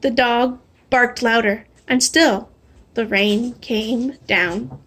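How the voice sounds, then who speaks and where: A woman reading a children's storybook aloud in an animated, expressive way. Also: a little high. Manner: animated, drawing out refrains slowly. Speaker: storybook reader. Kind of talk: expressive read-aloud of a children's picture book.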